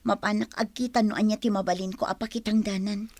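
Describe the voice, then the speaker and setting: A woman's voice: mid-range voice, flat delivery, quick pace, darkish tone, no room sound, close to an everyday voice. A woman acting a long-suffering, pleading young mother in an Ilocano radio drama, with a Filipino Ilocano accent. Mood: weary, worried.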